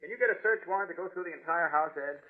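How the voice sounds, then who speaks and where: A man's voice: a little high, racing, lightly acted. An actor playing an earnest, dogged, mild-mannered male reporter turned sleuth in an old radio drama. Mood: businesslike, confiding. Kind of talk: 1950s radio drama acting. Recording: muffled vintage radio recording.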